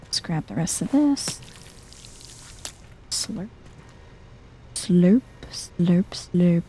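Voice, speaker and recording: Soft-spoken, mellow, unhurried woman. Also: on the low side, lively intonation, a little hiss or hum. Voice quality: soft, often near a whisper. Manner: chill, playful muttering to herself. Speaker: gaming video host. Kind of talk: soft-spoken ASMR game commentary.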